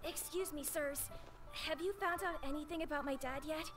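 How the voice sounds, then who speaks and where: Lady doing a worried little kid, a boy, high voice, video game with music behind. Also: normal speed, close to an everyday voice. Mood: earnest, curious. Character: worried little boy looking for his father.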